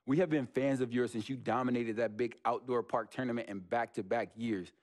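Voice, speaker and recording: An actor playing a college recruiter, reading a formal, measured pitch letter in a sports game cutscene. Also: male voice, fairly even intonation, natural voice.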